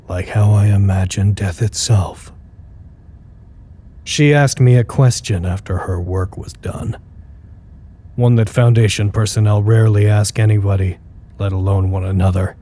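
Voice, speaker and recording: An actor playing a brooding, wary facility staffer recording his observations in an audio drama, measured and ominous with dry wit. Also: fairly low pitch, dark tone. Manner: measured, ominous, dryly wry.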